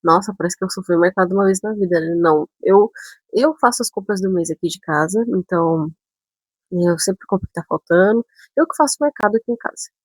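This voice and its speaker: A chatty young woman from São Paulo tells a story straight to camera. Her speech carries touches of a northern Brazilian accent. Her voice is a little husky and breathy, and she jumps into animated asides. The sound is close and dry, with no room echo. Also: on the low side, fast talker. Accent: northern Brazilian touches mixed with São Paulo speech. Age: 20s. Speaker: vlogger.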